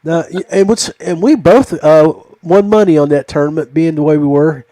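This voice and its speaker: Higher-voiced man telling rambling stories. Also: normal speed, warm tone, no echo. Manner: rambling, folksy storyteller. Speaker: podcast host. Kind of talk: casual chat, storytelling.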